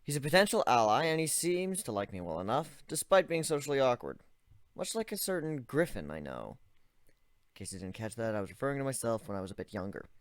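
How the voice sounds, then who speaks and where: A man's voice: mid-range voice, very animated, a little fast, no echo. A man giving a first-person dramatic reading of a story, with a conversational, wry delivery. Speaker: story reader.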